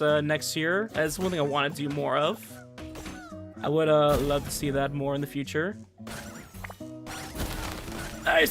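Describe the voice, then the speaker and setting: A man livestreaming a game, chatting casually in an enthusiastic, rambling way and often restarting his sentences. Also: on the high side. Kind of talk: casual chat while streaming gameplay. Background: music.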